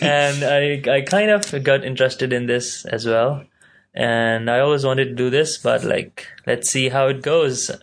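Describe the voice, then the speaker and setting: A man's voice: mid-range voice, a little slow. A young man from Pakistan co-hosting a casual student podcast, talking in an easygoing, joking way with lots of fillers. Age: young adult. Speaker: podcast co-host, university student. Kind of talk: casual chat.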